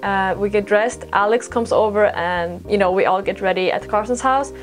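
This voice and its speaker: A woman's voice: mid-range voice, normal speed. Young Swedish woman vlogging, music behind. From Sweden. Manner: informal, self-deprecating, rambling asides. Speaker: podcast host and vlogger. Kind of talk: casual chatty storytelling to camera.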